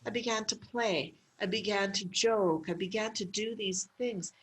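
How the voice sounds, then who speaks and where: A middle-aged woman from Canada, a medical clown being interviewed, telling stories in a lively way with laughs and fillers. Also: medium pitch, normal speed, low-quality recording. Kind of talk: interview conversation.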